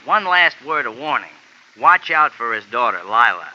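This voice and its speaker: An actor playing a small, excitable male jockey in a 1950s radio drama, talking fast, wordy and emphatic. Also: medium pitch. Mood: earnest. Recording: lo-fi old radio broadcast.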